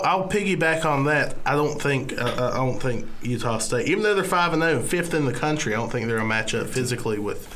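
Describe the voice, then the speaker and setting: A man's voice: medium pitch, a bit bright, slight background noise. A young man co-hosting a college sports podcast while sick and losing his voice, talking casually with many restarts. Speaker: podcast co-host. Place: college radio studio.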